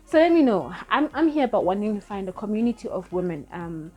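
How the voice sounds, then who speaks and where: A woman's voice: mid-range voice, sing-song, fast talker, a little mellow, soft background music. A woman in her thirties, not a native English speaker, giving earnest, rambling spiritual advice in a casual vlog, often correcting herself as she goes. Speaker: spiritual vlogger.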